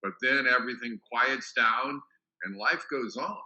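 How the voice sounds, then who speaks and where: A man's voice: mid-range voice, unhurried, pushed and bright, dull audio. A man playing a pragmatic, unbothered biblical disciple in a church dramatic reading, speaking matter-of-factly. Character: pragmatic, unbothered male disciple, shrugging off doubts.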